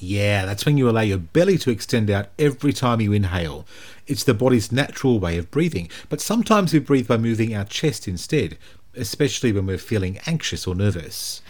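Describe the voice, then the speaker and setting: A man's voice: fairly low pitch, sing-song, a little fast, darkish tone. A man co-hosting a podcast, wryly feeding questions and laughing easily. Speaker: podcast co-host. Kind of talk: scripted podcast chat with banter. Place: recording studio.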